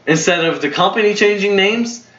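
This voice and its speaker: A man's voice: high voice, moderate pace, fairly bright tone. Rambling young man in a roomy room. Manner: rambling, full of fillers and self-corrections. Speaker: storyteller host. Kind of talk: casual storytelling chat. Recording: roomy, dull lo-fi home recording.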